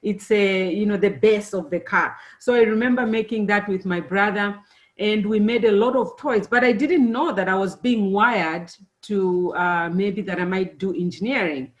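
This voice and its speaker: Zambian-born woman speaking to students. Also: mid-range voice. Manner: friendly, unhurried, many fillers. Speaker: engineer giving a talk to students. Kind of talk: presentation to students.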